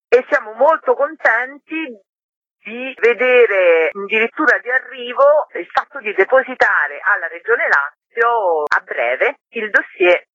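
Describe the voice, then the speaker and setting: Bright-voiced woman on a dull phone line. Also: on the high side, dry recording. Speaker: promoting committee member being interviewed. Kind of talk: conversational radio interview.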